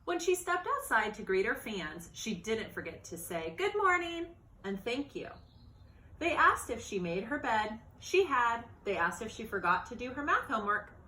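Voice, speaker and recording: A woman reading a children's storybook aloud in an expressive, animated narrating style. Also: on the high side, normal speed, hardly any pauses. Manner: expressive, acts out dialogue and exclamations. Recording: roomy, echoey sound.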